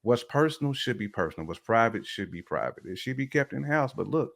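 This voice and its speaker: A man's voice: medium pitch, big pitch swings, brisk, creaky, fairly bright tone. A man chatting casually and with firm opinions about relationships, repeating phrases for emphasis.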